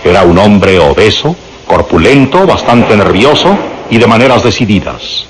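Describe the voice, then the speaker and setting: Guy narrating an old-style radio serial, very lively swoops in pitch, music behind him. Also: moderate pace, low-quality recording. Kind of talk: dramatic scripted radio drama narration.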